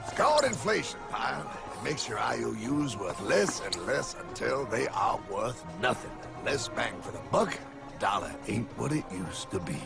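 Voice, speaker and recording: Brash, gravelly cartoon know-it-all, swooping delivery. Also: male voice, medium pitch, big pitch swings, normal speed. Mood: wry, lecturing. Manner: emphatic, condescending. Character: brash, know-it-all man explaining money to a naive pal. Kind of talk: animated cartoon dialogue and narration. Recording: music behind the voice.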